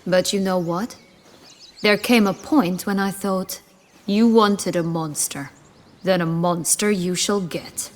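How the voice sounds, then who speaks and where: An actress playing a proud, bitter banished noblewoman turned bandit leader, wry and measured, in a fantasy game. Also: lowish voice. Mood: resentful. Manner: wry, measured, dramatic storytelling. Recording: faint ambient noise behind.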